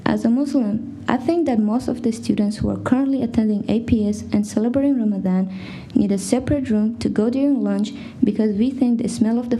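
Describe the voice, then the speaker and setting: A teenage girl whose first language is Farsi reads a prepared statement at a school board meeting. Her tone is very dark and mellow, and her delivery is careful and steady. Faint noise sits behind her. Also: mid-range voice. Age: teens. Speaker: student speaker.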